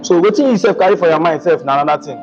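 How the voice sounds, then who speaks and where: A fast-talking man hosting a talk show in a Nigerian Pidgin accent, his voice fairly high and full of quick exclamations. The recording is a little dull and lo-fi, with music running clearly underneath. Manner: animated, exclaims wow often, mixes Pidgin and English. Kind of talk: casual interview chat.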